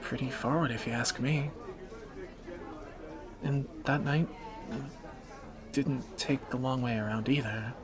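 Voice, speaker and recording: A man playing a teasing, protective captor turned lover in a romantic fantasy audio roleplay, bantering and soft-spoken. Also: mid-range voice, steady tone, takes it slowly, pauses often, crowd noise, lightly acted, poor audio. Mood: fond banter.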